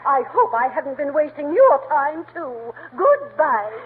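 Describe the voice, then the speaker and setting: An actress playing a haughty, affected society matron in a 1940s radio comedy. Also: female voice, sits high, big pitch swings, a little slow, background noise. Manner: prim, affected, flustered. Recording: tinny old radio broadcast.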